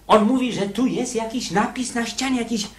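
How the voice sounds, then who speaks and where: An actor in a radio play voicing a timid, frightened condemned convict, hesitant and anxiously repeating words. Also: male voice, very high-pitched, moderate pace, over music. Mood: hushed dread.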